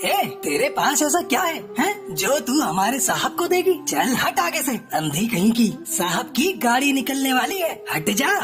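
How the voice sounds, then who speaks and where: A woman's voice: high voice, sing-song, unhurried, hardly any pauses. A woman voicing a brusque, scornful male gatekeeper bird in a cartoon. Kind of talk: Hindi cartoon story dialogue. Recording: music behind the voice.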